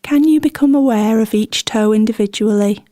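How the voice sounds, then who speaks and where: A woman guides a meditation with a relaxed, warm and mellow voice. She speaks gently and soothingly, leaving unhurried pauses between her instructions. The sound is very dry, with no sense of the room around her. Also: a little high, no echo. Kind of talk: guided body scan meditation, scripted instruction.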